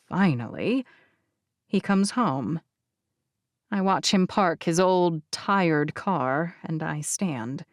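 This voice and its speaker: Female audiobook narrator for first-person fiction. Lower-pitched, very animated intonation, measured delivery. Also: no echo, studio quality. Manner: expressive, deliberate phrasing.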